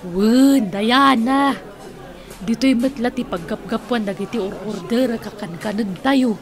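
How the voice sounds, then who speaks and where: A woman's voice: on the high side, measured pace, soft background music, some chatter behind. A woman playing a wealthy, playful auntie with a Filipino accent in a radio drama, proud and indulgent. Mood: proudly revealing. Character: wealthy, playful, generous auntie who owns businesses.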